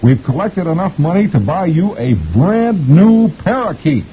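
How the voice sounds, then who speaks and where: Man putting on high, excited little schoolkids. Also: unhurried. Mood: excited, proud. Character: excited cheering little schoolchildren in a comic strip. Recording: dull lo-fi old radio recording, faint hiss.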